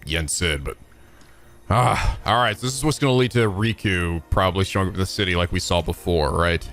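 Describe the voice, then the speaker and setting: A man's voice: low-pitched, brisk, a bit bright, faint music behind. A man giving casual, profane, sarcastic commentary as he reacts to game cutscenes. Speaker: let's-play host reacting to cutscenes.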